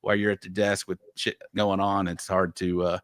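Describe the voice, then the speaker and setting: A man's voice: low voice, little pitch movement, fairly quick, dry recording, clean studio sound. A man from Texas chatting casually on a livestream from his home office, rambling with lots of restarts and easy laughs. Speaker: guest podcast host.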